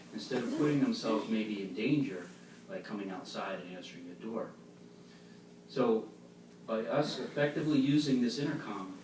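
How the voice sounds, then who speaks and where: A man delivers an instructional religious talk from some distance off the microphone. His voice rings with heavy room echo over faint noise. He explains things plainly, as a speaker addressing a congregation. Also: fairly low pitch. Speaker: congregation speaker giving a demonstration. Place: echoey meeting hall before an audience. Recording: echoey hall, distant mic, faint noise.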